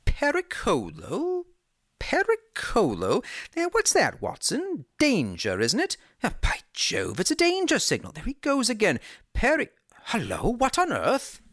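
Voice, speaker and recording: A reader voicing a brilliant, excitable male detective in a Victorian audiobook, in a raised, quick, exclaiming voice. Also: mid-range voice, dry recording, clean studio sound, voice pushed up, full character voice. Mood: alarmed. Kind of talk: audiobook character reading.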